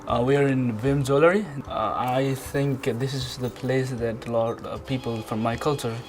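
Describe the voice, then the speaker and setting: A man's voice: medium pitch, fairly even intonation. Nepali teen guy, english not his first language, lots of restarts, music in the back. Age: teens. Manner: halting, restarts often, plain simple English. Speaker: student showing his community. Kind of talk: casual documentary talk.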